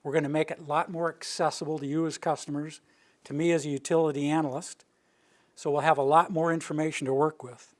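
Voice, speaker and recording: Older man with a steady monotone delivery. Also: fairly high pitch, fast talker. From Rocky Mountain region of the US. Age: older, near retirement. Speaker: utility planning director giving a public presentation. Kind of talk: informal public presentation. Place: meeting room with a live audience.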